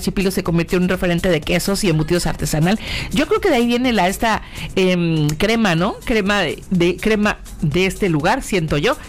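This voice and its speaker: A woman's voice: medium pitch, slight background noise. A woman with a Mexican accent hosting a radio show, talking informally and directly to the listener with rhetorical questions. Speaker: radio host. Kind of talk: chatty informal radio monologue addressed to the listener.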